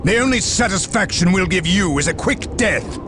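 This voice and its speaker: A man's voice: high-pitched, lively intonation, normal speed. A man playing a proud, zealous space marine captain in a video game, bellowing in a declamatory way. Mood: defiant. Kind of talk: dramatic video game cutscene acting. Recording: loud music and battle noise behind.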